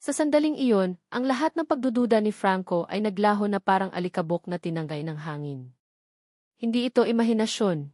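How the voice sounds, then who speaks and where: A woman's voice: medium pitch, lively intonation, a little fast, a little mellow, no echo, studio quality. A woman reading a scripted dramatic story as its narrator.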